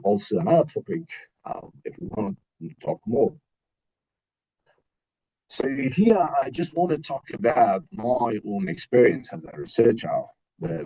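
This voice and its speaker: A man with an Afghan accent giving an informal academic webinar talk as a researcher, haltingly searching for words and repeating himself. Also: fairly low pitch, creaky, dark tone, husky. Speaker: PhD researcher and university teacher presenting. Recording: dull, roomy webinar audio.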